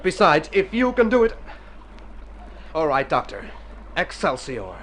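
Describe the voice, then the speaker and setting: A man's voice: on the high side, wildly swooping pitch, a little slow. An actor playing an earnest, plaintive convalescing city man in a radio drama, theatrical and wordy. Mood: weary, complaining. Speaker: storyteller and lead character in a radio play. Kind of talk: Golden Age radio drama acting. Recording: old radio recording with music.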